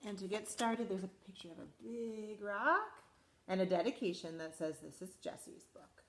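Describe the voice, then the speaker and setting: Animated woman reading to children. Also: medium pitch, moderate pace, roomy sound, slight background noise. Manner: animated, expressive storytelling to children. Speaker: school principal reading aloud. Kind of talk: children's read-aloud.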